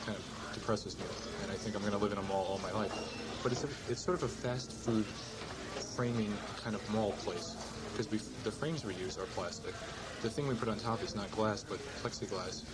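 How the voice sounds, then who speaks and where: Low-voiced young man over heavy noise. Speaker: storyteller. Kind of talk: casual personal anecdote.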